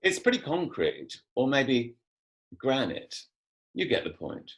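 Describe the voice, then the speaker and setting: A flippant, theatrical male personal assistant voiced by an actor in a drama. He speaks slowly and haltingly, with wry exasperation and very lively pitch. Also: heavily acted. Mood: wry, patronising. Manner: sardonic, halting. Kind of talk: scripted drama table read. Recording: video call.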